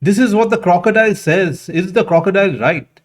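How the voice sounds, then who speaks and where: A man's voice: high voice, expressive, a little fast, pitched above the performer's own voice. A man voicing an earnest, pleading young village boy in a told fable. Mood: questioning.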